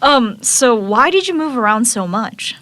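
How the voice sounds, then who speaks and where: A woman's voice: on the high side, lively intonation, unhurried, a bit bright, no echo. A woman hosting an interview podcast, politely introducing her guest from notes and asking questions. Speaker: podcast host.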